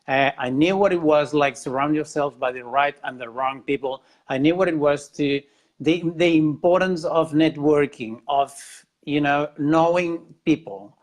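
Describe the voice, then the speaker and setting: A man with a Spanish accent speaks in a fairly high voice. He tells his life story in a casual interview, earnest and a little halting, often restarting and repeating himself. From Spain, Catalonia. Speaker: interview guest.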